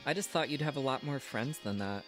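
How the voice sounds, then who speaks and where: Man reading as a reserved, deadpan young woman. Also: mid-range voice, a little fast, pitched above the performer's own voice, close to an everyday voice. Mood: curious, guarded. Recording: clear guitar music behind. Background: guitar music.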